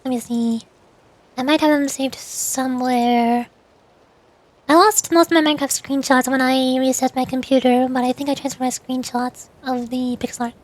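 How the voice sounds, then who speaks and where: High-voiced chatty young woman streamer. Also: fairly even intonation, normal speed. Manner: chatty, rambling, reads chat aloud. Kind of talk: casual chat with viewers.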